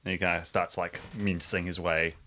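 A man's voice: sits low, little pitch movement, moderate pace, sharp tone. A man casually improvising narration as a player in an audio drama.

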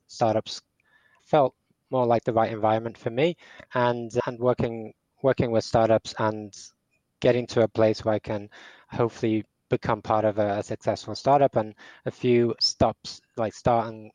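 A man's voice: mid-range voice, darkish tone, no echo, rough recording. A man in his forties, raised in Portugal, answering podcast questions as a startup tech lead, hesitant with many restarts. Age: 40s. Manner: hesitant, with frequent restarts and repeated words. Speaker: startup CTO guest. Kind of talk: podcast interview.

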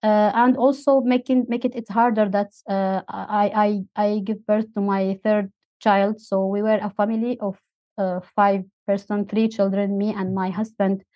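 A woman's voice: mid-range voice, level tone, a little slow, no echo. Syrian woman, 30s, non-native English, hesitant and filler-heavy, dry and slightly muffled interview guest. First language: Arabic. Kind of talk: interview storytelling.

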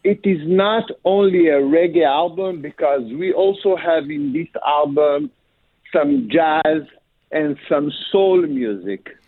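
Slow, halting man on a tinny phone line. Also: fairly high pitch, dry recording. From Africa. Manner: halting, many fillers, slow and careful. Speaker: singer and producer guest. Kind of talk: radio phone interview.

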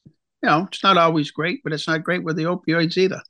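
A man's voice: on the high side, fairly even intonation, brisk, bright, forceful tone, no room sound. A man hosting a radio talk show, asking questions in a casual, conversational way. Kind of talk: radio interview.

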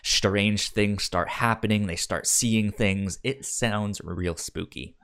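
A man co-hosting a podcast in casual chat, halting and often repeating the starts of his words. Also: fairly low pitch, fairly even intonation, gravelly, fairly bright tone, smooth. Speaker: podcast co-host.

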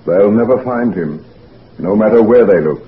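An actor playing an anguished, secretive convalescent gentleman doctor in a brooding, melodramatic 1940s radio drama style. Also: fairly low pitch, moderate pace, natural voice. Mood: guarded. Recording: noisy, tinny 1940s radio recording.

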